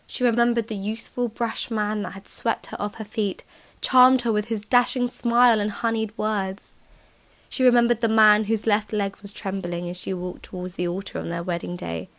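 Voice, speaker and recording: A woman's voice: medium pitch, flat delivery, moderate pace, fairly bright tone, no echo. A woman narrating a scripted, dramatic short story for an audio drama.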